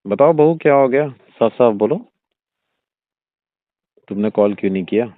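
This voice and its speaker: A man's voice: medium pitch, expressive, moderate pace, natural voice. A man playing an older, indulgent father-in-law who talks in a coaxing, suggestive, familiar way in a staged phone-call drama. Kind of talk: staged phone-call audio drama in everyday conversational speech. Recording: muffled narrow-band phone-line sound.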